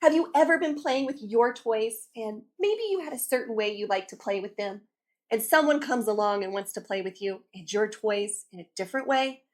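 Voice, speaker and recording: A woman hosting a children's read-aloud show, speaking to kids in an expressive, instructive, scripted way. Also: fairly high pitch, normal speed.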